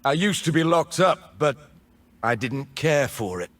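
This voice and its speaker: A man playing a bitter, defiant rebel mage in a video game, speaking deliberately and dramatically. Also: a little high, expressive. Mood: wry resolve. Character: defiant adult male mage rebel, bitter, grimly intense. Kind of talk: video game character voice lines.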